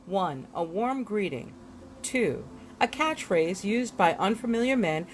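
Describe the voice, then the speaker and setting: A woman reading glossary entries aloud from a book in an expressive style, giving headwords deliberate, dramatic emphasis. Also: mid-range voice, slight background noise. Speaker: reader of a book.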